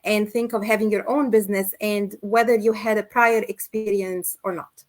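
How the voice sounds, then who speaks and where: A woman's voice: medium pitch, steady tone, moderate pace. A woman hosting a casual online interview, encouraging her guest and using many fillers. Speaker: host.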